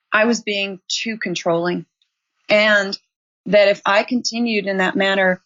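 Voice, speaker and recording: A woman in her sixties, a grandmother and author, giving earnest, instructive advice in a measured way. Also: medium pitch. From Montana. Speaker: guest, author. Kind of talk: advice in an interview. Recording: slightly dull lo-fi audio.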